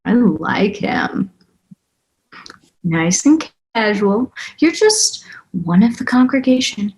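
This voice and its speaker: A woman playing a teasing, bossy agent who coaches a colleague, in a deadpan table-read delivery. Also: mid-range voice, takes it slowly, poor audio. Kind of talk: screenplay table read acting.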